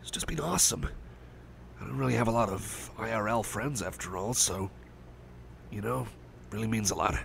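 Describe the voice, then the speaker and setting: A man voicing a gentle, caring male cyborg friend in an ASMR roleplay, talking softly and hesitantly with many fillers, sometimes dropping to a whisper. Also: fairly low pitch, moderate pace, vocal fry, pauses often, a little hiss or hum. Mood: soothing. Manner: soft, hesitant and rambling, with many fillers and restarts; drops to soft whispers at times. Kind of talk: ASMR roleplay, personal attention.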